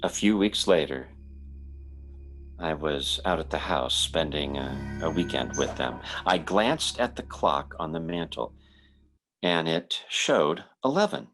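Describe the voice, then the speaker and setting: A man's voice: rumbling low, expressive, unhurried, a bit bright, faint music behind, heavily acted. A man playing an earnest, anxious young fiancé in an old-time radio drama, speaking with theatrical hesitancy. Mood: somber narration. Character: anxious, polite young fiancé telling his eerie tale.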